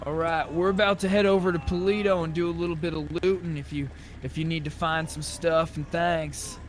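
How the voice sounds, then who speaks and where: A man's voice: a little high, level tone, fairly quick, a little hiss or hum. A man talking casually in character while playing an online roleplay game. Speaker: roleplay gamer.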